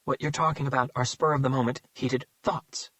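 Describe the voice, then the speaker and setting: Audiobook: defiant female agent, played by a male reader, pitched up. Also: fast talker, no echo, close to an everyday voice. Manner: sharp and defiant. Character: angry, blunt female FBI agent.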